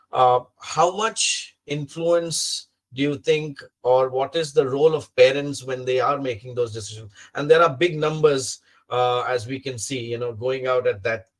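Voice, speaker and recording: Man moderating a panel, measured. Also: mid-range voice, poor audio. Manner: measured, with many fillers and short acknowledgments.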